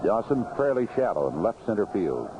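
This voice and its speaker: Animated American baseball radio announcer, noisy vintage broadcast. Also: male voice, fairly low pitch, a little fast, muffled recording. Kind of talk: live radio sports commentary, 1970s. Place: outdoor ballpark broadcast booth.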